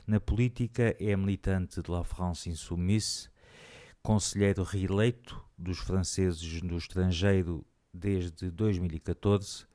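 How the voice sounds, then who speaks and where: A man hosting an interview, reading a measured, formal introduction of his guest. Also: low voice, warm tone. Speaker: radio interviewer, host. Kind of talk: scripted introduction then interview questions.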